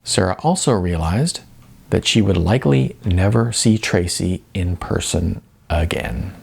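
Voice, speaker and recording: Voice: deep voice, animated, measured pace, vocal fry. A narrator reading a novel aloud as scripted storytelling. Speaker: audiobook narrator.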